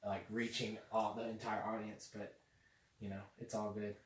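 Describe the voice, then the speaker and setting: A young man hosting a podcast in casual chat, rambling with many fillers and getting excited about social media. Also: lowish voice, normal speed. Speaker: podcast host. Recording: echoey room, mic at a distance, faint noise.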